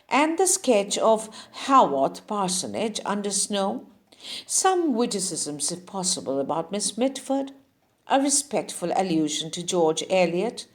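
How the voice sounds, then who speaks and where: Woman reading literature with lively, swooping intonation. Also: mid-range voice. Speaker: audiobook reader.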